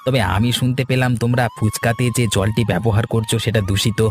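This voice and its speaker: A man acts out a scheming cartoon villager who loves to argue. He rattles his words out quickly and insistently, with faint music underneath. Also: medium pitch, steady tone, natural voice. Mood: accusing. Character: scheming, argumentative village man, sly troublemaker. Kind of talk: Bengali cartoon story acting.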